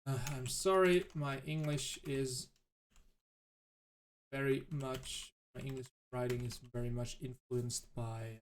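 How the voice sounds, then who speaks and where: German guy narrating his game really slowly, stops and restarts a lot. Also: mid-range voice, fairly even intonation, slight background noise. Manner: thinking aloud, halting with restarts. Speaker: let's play host. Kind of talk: casual gameplay commentary.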